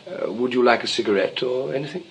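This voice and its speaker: An actor playing a cynical, conceited novelist in a 1920s comedy of manners, dry, blunt and playfully provocative. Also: male voice, medium pitch, fast talker, slight background noise, natural voice, low-quality recording. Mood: dry, casual. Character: cynical, teasing male novelist, urbane and conceited. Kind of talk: 1920s English comedy of manners stage acting.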